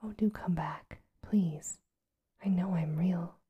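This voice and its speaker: A woman voicing a shy, insecure little male toy rabbit in a soft whisper. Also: fairly low pitch, takes it slowly, lo-fi sound, crowd noise. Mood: pleading.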